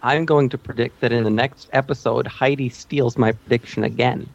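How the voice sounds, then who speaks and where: A woman's voice: rumbling low, fairly even intonation, moderate pace, gravelly, dull audio, very noisy. A woman co-hosting a podcast, chatting casually about television in an exclaiming, mock-exasperated, jokey way. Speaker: podcast co-host. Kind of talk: casual TV recap chat with friends.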